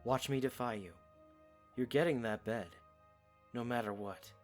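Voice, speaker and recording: A man playing a gentle, stubborn young knight in an audio drama, speaking softly and informally with playful defiance. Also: medium pitch, measured pace, soft background music, lightly acted. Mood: teasing defiance. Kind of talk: fan audio drama acting.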